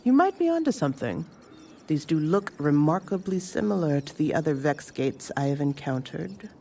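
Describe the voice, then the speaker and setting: A woman playing a composed senior mentor in an audio drama, speaking in a formal, measured way. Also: deep voice, sing-song, mellow, full character voice. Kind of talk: fan audio drama dialogue. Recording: distant mic, clear background noise.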